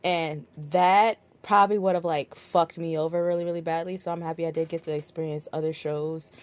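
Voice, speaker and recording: Low-voiced woman hosting a casual podcast. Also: fairly quick. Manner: relaxed, many fillers, laughs easily. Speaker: podcast host. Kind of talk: casual chat.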